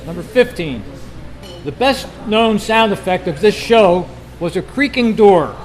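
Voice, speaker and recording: A man with a notably high voice acts as quizmaster for a club gathering. He reads each trivia question out deliberately, in short phrases, and repeats it for the group. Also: animated, soft background music. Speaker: quiz host at a club meeting.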